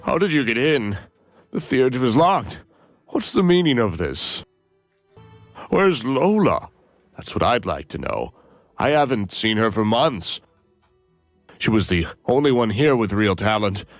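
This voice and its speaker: An actor playing a defeated, bitter theater director in a game, sounding defensive and weary. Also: male voice, medium pitch, very animated, a little slow, long pauses, dry recording, soft background music. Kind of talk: game cutscene voice acting.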